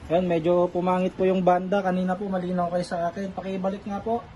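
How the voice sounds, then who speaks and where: Man on radio, clearly noisy recording. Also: high voice, level tone, fast, dark tone, highs cut off. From Philippines. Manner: polite, courteous, repeats himself for clarity. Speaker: amateur radio operator, vlogger. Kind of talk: casual two-way radio exchange.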